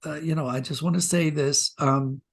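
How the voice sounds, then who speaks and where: A man's voice: on the high side, steady tone, moderate pace, vocal fry. Self-deprecating older American man telling stories. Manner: self-deprecating, reflective storytelling. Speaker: interview guest, writer. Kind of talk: conversational anecdote-telling in an interview.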